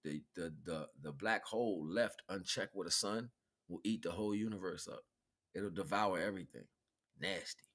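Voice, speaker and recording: A man's voice: low-pitched, moderate pace, fairly bright tone. A man giving an improvised spiritual talk in colloquial language, repeating phrases for emphasis and checking in with rhetorical questions. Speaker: spiritual teacher, livestream host. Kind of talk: improvised spiritual lecture, streamed.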